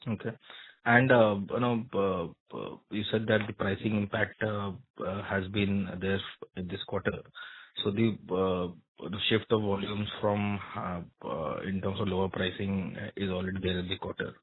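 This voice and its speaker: A man's voice: deep voice, steady tone, normal speed, slight background noise. A man asking questions as an analyst on an earnings call, hesitant and broken in his phrasing, leaning on "you know". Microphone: phone line. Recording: thin phone-like narrow band line.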